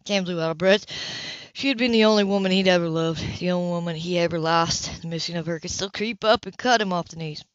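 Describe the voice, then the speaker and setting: A woman's voice: lowish voice, fairly quick, no echo. A woman narrating a novel as an amateur reader, occasionally stumbling and rereading phrases. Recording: dull lo-fi home recording.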